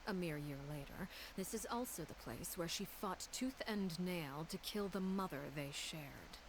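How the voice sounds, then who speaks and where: An actress voicing a ruthless, composed female house leader in a video game, speaking in a breathy, near-whispered, coldly formal way. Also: fairly low pitch, noisy background. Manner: measured, calm, coldly formal. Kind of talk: game voice acting.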